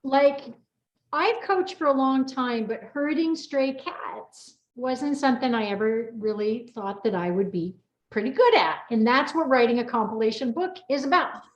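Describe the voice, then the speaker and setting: Female chat host, higher pitched, effusive and encouraging, echoey video call sound. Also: moderate pace, lo-fi sound. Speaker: host, coach and book compiler. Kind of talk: casual chat, hosting a group interview.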